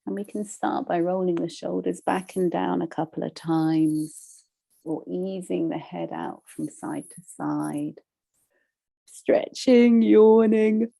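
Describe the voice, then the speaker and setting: A woman leading a guided meditation as a teacher, soothing and measured, with long pauses between phrases. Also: fairly low pitch, creaky, warm tone.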